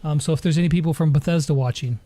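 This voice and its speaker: A man's voice: on the high side, very fast, mellow, dry recording. A man hosting a casual livestream interview, easygoing and appreciative as he chats and reads out questions. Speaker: interview host.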